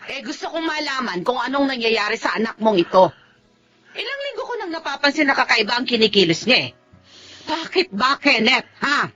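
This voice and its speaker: A worried Filipino mother in a radio drama, scolding and pressing. Her high voice swings widely with emotion over faint music. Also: very animated, normal speed, sharp tone, exaggerated voice. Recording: dull audio with music underneath.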